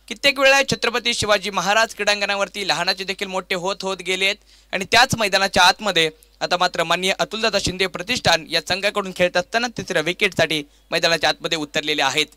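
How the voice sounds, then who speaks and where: A man's voice: high voice, sharp tone, clean tone, no echo. A man with a Maharashtrian accent giving live play-by-play cricket commentary in grandiose, dramatic phrases with repeated stock lines.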